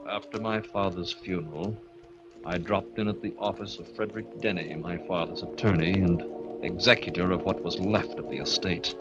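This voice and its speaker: An actor playing a haunted, brooding young heir, narrating his own tale dramatically and haltingly in 1940s radio style. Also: sits low, steady tone, natural voice. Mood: composed. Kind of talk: 1940s radio acting, first-person narration. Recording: muffled vintage radio broadcast over loud music.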